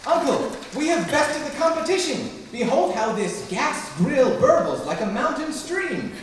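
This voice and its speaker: A performer playing an excitable young nephew in an old-time radio comedy, exclaiming theatrically in stilted antique phrasing. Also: high voice, unhurried, slight background noise. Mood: excited. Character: excitable young nephew, wide-eyed and old-fashioned in speech. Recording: echoey hall from far off, music behind.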